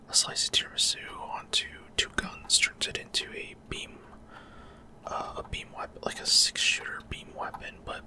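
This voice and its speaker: A man giving whispered ASMR gameplay commentary in a casual, rambling, slightly unsure way. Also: pushed and bright. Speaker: gaming ASMR video host.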